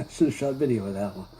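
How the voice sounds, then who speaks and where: A man hosting a casual radio chat, easygoing and quick to chime in with brief agreement. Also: medium pitch, very noisy. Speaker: radio host, interviewer. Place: radio broadcast session.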